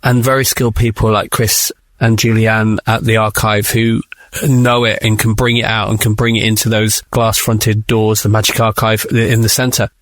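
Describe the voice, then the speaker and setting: A man's voice: fairly low pitch, level tone, normal speed, hardly any pauses, a bit bright, no echo. A man speaking as an interview guest in an enthusiastic, persuasive way, repeating phrases for emphasis.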